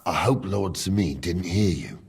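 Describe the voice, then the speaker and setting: Husky, gruff old guard captain. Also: male voice, sits low, moderate pace, exaggerated voice. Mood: gruff, dry. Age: older. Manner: blunt, teasing, colloquial. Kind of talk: video game dialogue acting.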